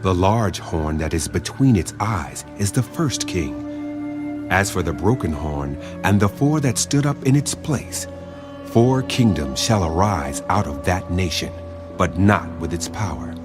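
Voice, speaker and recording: A solemn, commanding angel played by a man declaims prophecy in a dramatised scripture reading. The voice is on the low side and moves up and down a lot, grave throughout, with music clearly playing behind it. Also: animated, a little slow. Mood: grave, forceful. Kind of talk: dramatised scripture audiobook acting.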